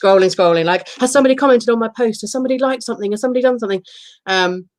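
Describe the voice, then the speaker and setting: Fast-talking woman in her thirties. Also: on the high side, no room sound, clean studio sound. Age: 30s. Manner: candid, self-deprecating, storytelling. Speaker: podcast co-host. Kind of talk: casual chat.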